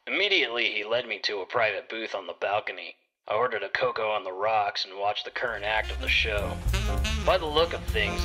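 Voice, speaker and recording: A man narrating a scripted first-person detective story in a hard-boiled storytelling manner. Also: fairly low pitch. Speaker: narrator playing a private detective.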